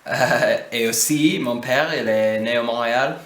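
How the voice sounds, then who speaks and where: American man speaking halting learner's French. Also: medium pitch, fairly bright tone. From United States. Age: 20s. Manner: halting, searches for words. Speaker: interviewee. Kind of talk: casual interview answers. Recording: echoey room, faint noise.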